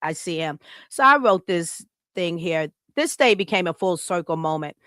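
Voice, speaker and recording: A woman's voice: fairly low pitch, animated, normal speed, a bit bright, no room sound, clean studio sound. A woman from Chicago co-hosting a live podcast, talking casually and reading a heartfelt written tribute aloud. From Chicago, United States. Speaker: podcast co-host.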